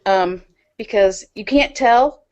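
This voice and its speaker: A woman's voice: mid-range voice, takes it slowly, clean tone. A woman co-hosting a podcast in casual chat, friendly and lightly teasing. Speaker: podcast co-host.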